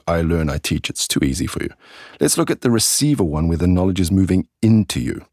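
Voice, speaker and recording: A middle-aged man with an English accent teaches a podcast lesson in a very low, slightly husky voice. He talks clearly and deliberately, slowing down on key words and example sentences. The sound is close and dry. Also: a little mellow, no echo. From England.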